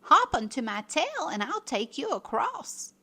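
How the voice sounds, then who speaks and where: Cunning storybook coyote, read by a woman: male, persuasive, coaxing, animated intonation. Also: sits high, a little slow, full character voice. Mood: sly coaxing. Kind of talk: children's storybook read-aloud.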